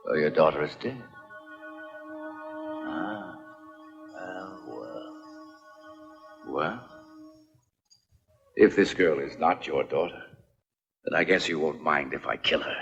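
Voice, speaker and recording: An actor playing a cruel, mocking villain in a dubbed historical adventure film, speaking in a menacing, theatrical way. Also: male voice, a bass voice, animated, slow, gravelly, pauses often, a bit bright, heavily acted. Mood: mocking menace. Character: cruel, mocking male villain who threatens prisoners. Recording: dull dubbed film soundtrack with music.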